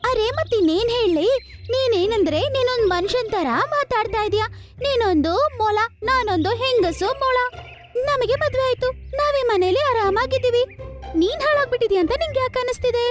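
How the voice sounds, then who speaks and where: A woman voicing a sarcastic, sharp-tongued, scolding wife rabbit in a cartoon. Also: very high-pitched, expressive, fairly quick, over loud music. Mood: sarcastic, quarrelsome. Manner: sarcastic, nagging. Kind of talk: cartoon voice acting.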